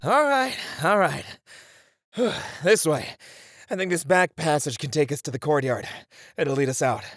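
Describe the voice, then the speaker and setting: Cocky, flirty ninja played by a man, lively delivery. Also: fairly high pitch, a little slow, no room sound, clean studio sound. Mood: reassuring, confident. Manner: teasing, breezy banter. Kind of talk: audio roleplay acting.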